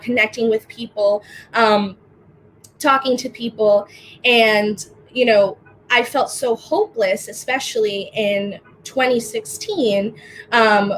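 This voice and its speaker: A woman's voice: fairly high pitch, slight background noise, poor audio. Earnest young woman organizer on a call. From Dominican Republic. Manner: warm, earnest, many fillers. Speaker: panelist, organizer. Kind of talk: casual spoken remarks.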